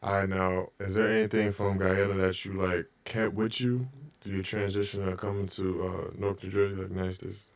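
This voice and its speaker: A man's voice: low-pitched, flat delivery, normal speed. A man hosting a podcast, asking questions in an easygoing, slangy way. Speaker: podcast host. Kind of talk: casual interview.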